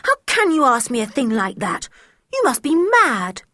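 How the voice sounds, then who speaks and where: A woman's voice: high-pitched, big pitch swings, moderate pace, sharp tone, dry recording, studio quality, pitched above the performer's own voice, full character voice. Woman voicing a fiery, defiant tomboy girl. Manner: indignant, emphatic.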